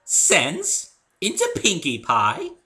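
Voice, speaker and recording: A man's voice: sits high, huge pitch swings, slow, sharp tone, pitched above the performer's own voice, heavily acted. Man putting on a refined, theatrical lady unicorn. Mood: theatrically amused. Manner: elegant, theatrical, affectionate. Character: refined, genteel, good-natured female cartoon unicorn. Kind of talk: dramatic story reading.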